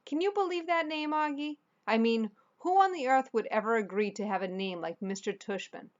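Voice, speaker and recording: An excitable, joking storybook dad, voiced by a woman reading aloud. The pitch is lifted and the delivery is teasing and full of exclamations. The recording sounds a bit dull. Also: moderate pace, lightly acted. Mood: amused excitement.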